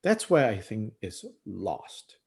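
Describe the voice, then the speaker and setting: Man, middle-aged, Buddhist teacher, gravelly voice, lively rising and falling pitch, reflective and unhurried. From Malaysia. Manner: thoughtful, unhurried, many "you know"s and pauses, chuckles often. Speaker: Buddhist teacher leading a book study. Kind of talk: reflective discussion talk.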